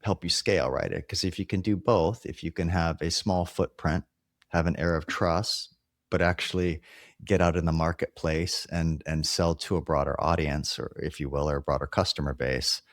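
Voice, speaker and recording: A man from Hawaii hosts a podcast interview with a very deep, low voice. He rambles a little, filling pauses and restarting his sentences. The recording is close and dry, with no room sound. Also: fairly even intonation, dry recording. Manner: rambling, many fillers and restarts. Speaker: podcast host. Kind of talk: casual interview.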